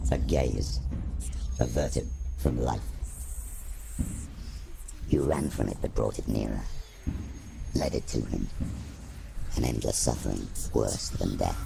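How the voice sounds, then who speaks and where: Abyssal, gravelly dark spirit. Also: a bass voice, full character voice. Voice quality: growling, gravelly. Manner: slow, solemn, ominous. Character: menacing male darkness spirit, huge, cold, commanding.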